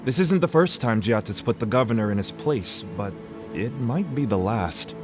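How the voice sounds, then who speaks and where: A man's voice: medium pitch, expressive, normal speed, close to an everyday voice. Male townsman for RPG, actor-played: medium register, wry, gossipy, informal. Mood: wry, weary. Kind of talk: conversational video game voice acting. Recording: voice over game music.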